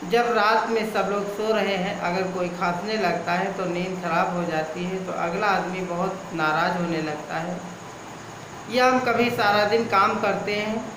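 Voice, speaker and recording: Guy doing a home remedy talk, goes through ailments one by one, roomy echo, noisy background. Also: high voice. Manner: repetitive, lists ailments one after another. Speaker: Ayurvedic healer. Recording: echoey room with background noise.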